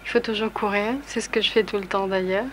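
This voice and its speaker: Woman from south of france, medium pitch, polite matter of fact vox pop, old tape with street noise. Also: little pitch movement, fast talker. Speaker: commuter interviewee. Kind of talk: spontaneous street interview. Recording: 1960s archive, clear background noise.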